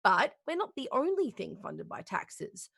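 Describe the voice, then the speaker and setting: A woman playing a gentle children's puppet show host, explaining things in a patient, careful way. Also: medium pitch, sing-song, a little slow, gravelly, breathy edge, no echo, studio quality, full character voice. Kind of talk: children's television host acting, play reading.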